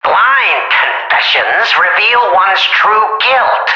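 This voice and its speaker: A man's voice: high voice, big pitch swings, slow, pushed and bright, roomy sound, lo-fi sound, exaggerated voice. A man voicing a gleeful, sinister puppet trickster in a video game, theatrical, singsong and mocking, through a radio-like filter. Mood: sinister playful. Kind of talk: theatrical game character acting in rhymes.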